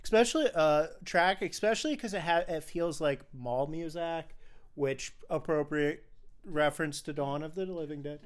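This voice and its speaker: A man co-hosting a film podcast in casual discussion, talking haltingly and often repeating his phrases. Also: on the high side, clean tone.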